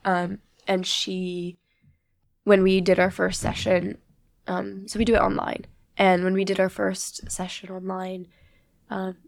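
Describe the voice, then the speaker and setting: A woman's voice: mid-range voice, monotone, measured pace, vocal fry, no echo, studio quality. A young woman from the USA, a guest chatting casually and filling her talk with "like". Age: young adult. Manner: chatty, many "like" fillers. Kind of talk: casual conversation.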